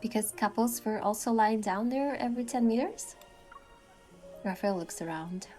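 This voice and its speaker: A woman with a fairly high voice narrates a first-person story. She is expressive and acts out her lines. Music plays softly behind her. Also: moderate pace. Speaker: narrator voicing a game's heroine.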